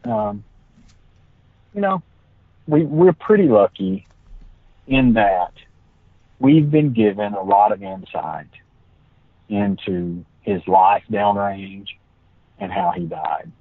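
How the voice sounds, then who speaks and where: A tired man with a raspy voice, speaking halting and earnest, with many fillers, as a guest. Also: lowish voice, big pitch swings, no room sound. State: tired, late at night. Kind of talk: casual conversation. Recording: tinny phone line.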